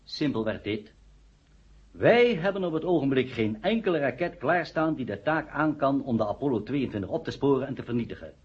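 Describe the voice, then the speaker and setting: A formal, coldly logical official in a vintage radio drama. He sounds husky, with wide swings of intonation, on a dull recording. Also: medium pitch, lively intonation, a little fast. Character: hard-headed, formal male council member, coldly logical. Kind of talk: 1970 Dutch radio play acting.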